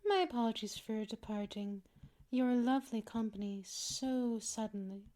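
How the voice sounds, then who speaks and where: A woman's voice: a little high, normal speed, warm tone, natural voice. A woman playing a gracious, ambitious, secretive duchess in an ASMR roleplay, with a refined, courtly way of speaking. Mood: polite.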